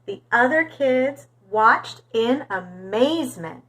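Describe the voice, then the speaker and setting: A woman reading a storybook aloud for young children in an expressive, animated storytelling manner. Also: a little high, slow.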